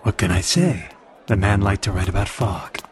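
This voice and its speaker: A man's voice: on the low side, normal speed, a crowd in the background, noisy background, lightly acted. A man playing a mysterious, coolly flirtatious masked avenger in a radio drama parody, delivering dry, suave quips. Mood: wry. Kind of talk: old-time radio drama parody acting.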